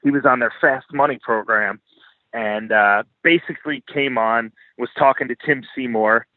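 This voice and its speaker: A man's voice: medium pitch, moderate pace, dry recording. An American man from Philadelphia chatting casually as an interview guest, blunt, profane and self-deprecating. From Philadelphia, United States. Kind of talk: casual unscripted interview chat. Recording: thin phone-like line.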